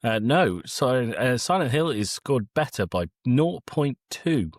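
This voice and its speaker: A man's voice: medium pitch, big pitch swings, unhurried, dry recording, studio quality. A British man co-hosting a podcast, casually running a quiz for the others.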